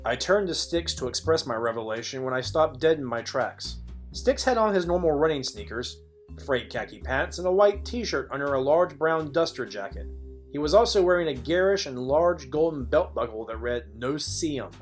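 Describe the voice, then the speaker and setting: A man playing a wry, world-weary private detective, narrating a film noir parody in a deadpan manner. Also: on the high side, normal speed, faint music behind, natural voice.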